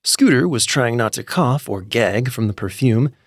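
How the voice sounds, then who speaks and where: A man narrating a scripted comic story in an animated, wry way. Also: mid-range voice, normal speed, dry recording, clean studio sound. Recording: music behind the voice. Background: background music.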